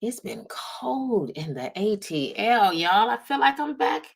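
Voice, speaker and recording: A woman's voice: mid-range voice, big pitch swings, unhurried, creaky, breathy edge. An American woman from the Midwest hosting a casual motivational livestream as a business coach, speaking straight to her audience and stressing key words. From Midwestern United States. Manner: emphatic, drawing out key words, checking in with "right?" and "y'all". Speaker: host, business strategist and coach.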